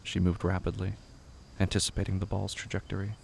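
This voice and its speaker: A man narrating scripted horror fiction in a measured, somber, deliberate manner. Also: low-pitched, monotone, vocal fry, darkish tone, a little hiss or hum.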